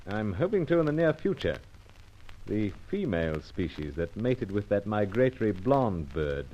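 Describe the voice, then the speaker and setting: An actor playing a suave British secret agent in a radio serial, wry, polite and a little hesitant, with a British accent. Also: male voice, lowish voice, big pitch swings, normal speed, dark tone, a little hiss or hum. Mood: discreet. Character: suave, dryly understated male British secret agent hero. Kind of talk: old-time radio serial acting and narration. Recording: dull lo-fi old radio recording.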